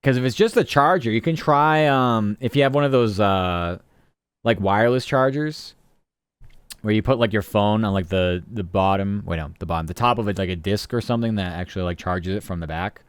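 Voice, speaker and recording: A man's voice: fairly low pitch, brisk, smooth, dry recording. A man streaming live, chatting casually and rambling from topic to topic while answering his chat.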